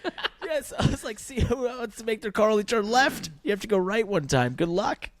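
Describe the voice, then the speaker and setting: A man co-hosting a podcast, chatting casually and colloquially about racing with a joking, animated manner. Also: sits high, fairly quick. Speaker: podcast co-host. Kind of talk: casual motorsports banter.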